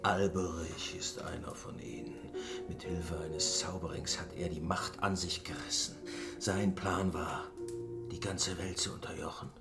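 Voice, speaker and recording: An actor playing a mysterious, knowing wandering god in disguise in a fantasy radio drama, measured and portentous, with a breathy edge. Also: male voice, sits low, level tone, over loud music. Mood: grave, knowing.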